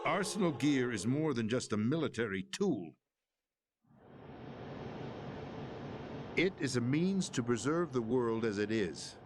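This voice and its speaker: A man's voice: mid-range voice, very animated, background noise, close to an everyday voice. An actor playing a grave, authoritative middle-aged statesman in a video game cutscene, weary yet urgent, with solemn diction. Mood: grave explaining. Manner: solemn, measured exposition. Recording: loud music behind the voice. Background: loud dramatic game score.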